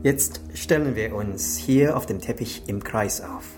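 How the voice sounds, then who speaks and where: An actor playing a calm, menacing Chinese triad boss, deliberate and solemn, in a radio crime drama. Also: male voice, on the low side, music in the background, close to an everyday voice. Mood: solemn, commanding.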